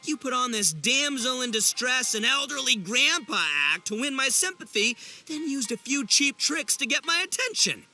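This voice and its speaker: A man's voice: very high-pitched, expressive, moderate pace, bright, forceful tone, faint music behind, full character voice. An actor playing a brash, gullible, overconfident young oni man in a video game, with boastful, theatrical, slangy delivery. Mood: triumphant accusing. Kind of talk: animated video game voice acting.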